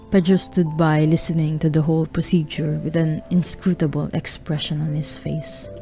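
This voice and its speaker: Woman reading story narration for radio play, deep for a lady, bit gravelly, music behind. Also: warm tone.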